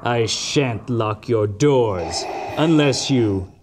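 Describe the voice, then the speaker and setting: Man acting out a measured, sinister dark lord. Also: mid-range voice, background noise, voice pushed down. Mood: calmly threatening.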